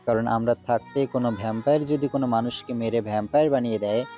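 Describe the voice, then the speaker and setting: A man's voice: medium pitch, warm tone, close to an everyday voice. A man voicing a resolute, duty-bound demon hunter in an audio drama, speaking earnestly and solemnly. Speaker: voice actor. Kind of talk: Bengali audio drama acting. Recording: faint background music.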